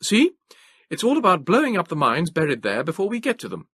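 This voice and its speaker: A man voicing a brisk young British soldier who speaks in clipped tones. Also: a little high, huge pitch swings, fairly bright tone, husky, no room sound, studio quality, pitched above the performer's own voice, exaggerated voice.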